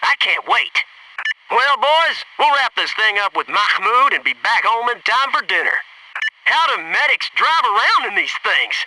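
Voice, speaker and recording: A man's voice: sits high, big pitch swings, unhurried, pushed and bright, far from the mic, a little hiss or hum, full character voice, poor audio. A man playing a cocky, wisecracking Navy SEAL squadmate in a military shooter game, with wry, slangy, gung-ho delivery. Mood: wry, relaxed.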